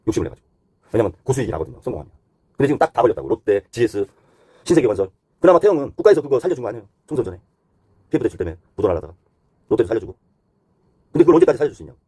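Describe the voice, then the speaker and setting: A man's voice: mid-range voice, sing-song, very fast, pauses often, dark tone, breathy edge, no echo. A man giving unscripted explanatory commentary as a host, talking conversationally point by point and sliding between polite and blunt, casual phrasing.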